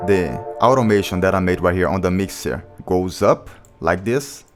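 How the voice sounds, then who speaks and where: Low-voiced man explaining over beats. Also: moderate pace. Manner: plain step-by-step explaining, non-native grammar. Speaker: music producer teaching beatmaking. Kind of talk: casual screen-recorded tutorial. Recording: beat music playing behind the voice.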